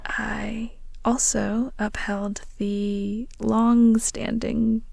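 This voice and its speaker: A young woman acting in an audio roleplay as an awkward, fond best friend with a hidden crush, speaking haltingly and bashfully with giggly hesitations. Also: on the high side, little pitch movement, creaky, darkish tone, dry recording, exaggerated voice. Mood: earnest, shy.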